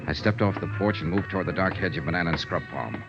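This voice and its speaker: A man's voice: low-pitched, quick pace. An American actor playing a tough, shrewd male investigator in a 1950s radio noir serial, with clipped first-person delivery. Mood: tense, measured. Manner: clipped, hard-boiled delivery. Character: tough, shrewd male freelance insurance investigator, hard-boiled. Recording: muffled vintage radio recording, orchestral music.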